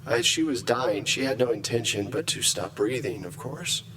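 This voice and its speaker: A man voicing a logical, disapproving shipboard AI in a measured, formal, clinical manner at a game table. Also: mid-range voice, crowd noise, lightly acted, low-quality recording. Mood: critical, logical.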